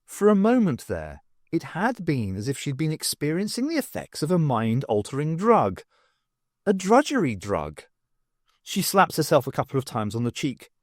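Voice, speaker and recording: A man reading scripted fiction as an expressive audiobook narrator. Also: a little high, moderate pace, no echo, clean studio sound.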